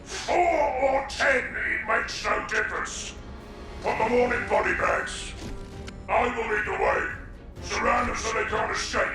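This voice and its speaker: Bombastic alien commander, wildly swooping, gravelly. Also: male voice, very high-pitched, wildly swooping pitch, unhurried, heavily acted. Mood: angry, contemptuous. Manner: bombastic, barking orders. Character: pompous, hot-tempered alien military commander berating his minions. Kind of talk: video game villain acting. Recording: cavernous echo, distant, under loud music.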